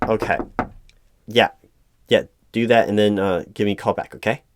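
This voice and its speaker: Man playing a stammering, flustered office manager, low voice. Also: steady tone, background noise, natural voice. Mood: exasperated. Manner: halting, stammering, repeats words; frustrated, hesitant. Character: flustered, apologetic male corporate hiring manager. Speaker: recruiting manager in a one-sided roleplay. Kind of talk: improvised audio roleplay, one side of a conversation.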